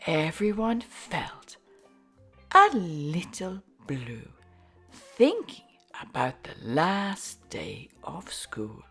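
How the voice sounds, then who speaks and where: Very animated woman reading a children's story. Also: low-pitched, husky. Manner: slow, deliberate, animated phrasing. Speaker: storytime host reading a children's book aloud. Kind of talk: expressive read-aloud storytelling for children.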